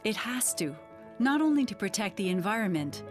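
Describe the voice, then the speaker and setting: A woman reading a scripted documentary narration in a steady, serious way. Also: mid-range voice, normal speed. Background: music.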